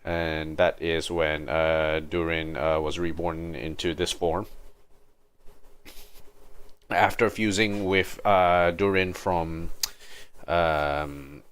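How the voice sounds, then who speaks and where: A man's voice: very deep voice, flat delivery, extremely slow, pauses often, smooth. A man livestreaming a game with casual commentary, thinking aloud and muttering to himself, sometimes reading on-screen text. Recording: game music behind the voice. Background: soft video game music.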